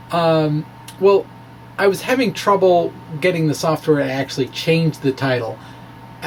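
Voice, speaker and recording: A man reading his own technical blog post aloud informally, in a halting stop-and-start way with playful, wry asides. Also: fairly high pitch, a little hiss or hum, low-quality recording.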